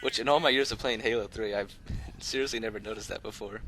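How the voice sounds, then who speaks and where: A man's voice: lowish voice, fairly even intonation, fast talker, fairly bright tone, soft background music, low-quality recording. A man giving casual, unscripted gameplay commentary as a video host, talking in an easygoing, rambling, conversational way. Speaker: host of a gaming video channel.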